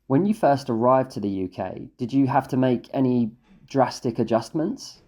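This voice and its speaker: A man's voice: mid-range voice, lively intonation, moderate pace, warm tone. A man interviewing a guest on a podcast, asking short, direct questions.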